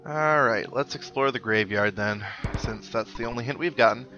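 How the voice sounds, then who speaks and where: A man's voice: mid-range voice, moderate pace, pushed and bright, lo-fi sound, faint music behind. A man hosting a video game playthrough, giving casual commentary with wry, sarcastic asides. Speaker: gamer host of a let's play. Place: indoor recording with game music behind.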